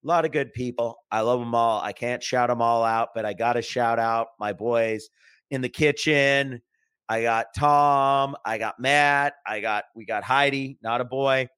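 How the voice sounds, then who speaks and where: A man's voice: medium pitch, fairly even intonation, a little slow, a bit bright, clear, resonant tone, dry recording. A man telling bar stories on a podcast as a guest, in a loose, cursing chat style with frequent laughs. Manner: loose, swearing, laughing storyteller. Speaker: podcast guest, restaurant worker. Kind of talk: casual chat.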